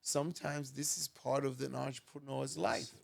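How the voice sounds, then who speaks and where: A man's voice: medium pitch, flat delivery, normal speed, gravelly. A man hosting a podcast in a studio, chatting casually with lots of "you know" asides. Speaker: podcast host.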